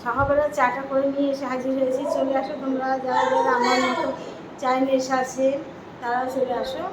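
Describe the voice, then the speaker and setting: A woman's voice: high-pitched, little pitch movement, fairly quick. A middle-aged woman chatting casually to her viewers on a vlog, talking to them directly and repeating herself as she goes. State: out of breath from walking. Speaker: vlogger. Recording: echoey with loud background noise.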